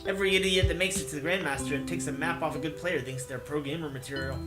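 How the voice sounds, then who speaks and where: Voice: medium pitch, fast, over loud music, close to an everyday voice. A video maker voicing a brusque, skeptical young woman pro gamer in a visual novel, curt and challenging. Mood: scornful. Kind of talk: visual novel character read-aloud.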